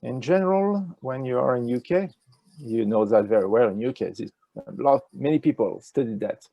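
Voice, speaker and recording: An older man with a French accent, answering interview questions in a halting way with many fillers, speaking over a video call with a wired earphone mic. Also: mid-range voice, creaky, breathy edge, no room sound, muffled recording. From France. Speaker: ecology professor, interview guest. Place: indoors with a virtual nature backdrop.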